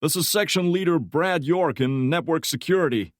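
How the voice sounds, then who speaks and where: A man's voice: on the high side, moderate pace, dry recording, studio quality, pitched above the performer's own voice, natural voice. A man playing a commanding middle-aged section leader who barks clipped orders. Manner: barking orders, clipped and forceful.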